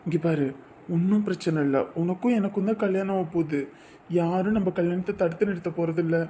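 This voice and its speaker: A performer playing an earnest young man quietly reassuring his fiancée in a family drama. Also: medium pitch, moderate pace, a little hiss or hum, natural voice. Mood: hushed. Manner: hushed, insistent, reassuring.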